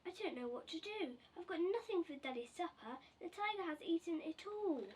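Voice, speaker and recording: Woman reading as a high-voiced storybook mummy, off mic. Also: expressive, moderate pace, a little mellow. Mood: worried. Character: polite, practical young mother in a storybook. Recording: distant mic with faint background noise.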